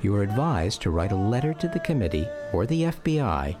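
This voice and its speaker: An actor playing a grown man recalling his exiled boyhood, narrating a radio drama in a measured, reflective, wistful way. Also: fairly low pitch, very animated.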